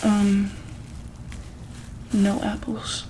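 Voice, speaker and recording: A woman playing a calm, caring monster-hospital doctor in an ASMR roleplay, speaking softly and hesitantly in a breathy whisper. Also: mid-range voice, drawn-out words, very noisy. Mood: calm, attentive. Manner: hesitant, gentle, soft-spoken.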